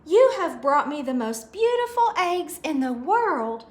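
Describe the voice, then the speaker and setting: A woman's voice: high-pitched, very animated, a little slow, voice pushed up. A woman voicing a kindly, stately male Easter rabbit from a storybook, in a gracious, declaratory way. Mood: gracious, commanding.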